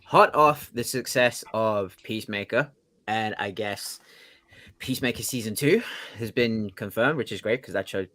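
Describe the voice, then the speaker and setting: A man with a somewhat low-pitched voice presents entertainment news on a podcast. His delivery is informal and conversational, sprinkled with slang and swearing. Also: moderate pace, fairly bright tone. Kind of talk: casual, profane news chat.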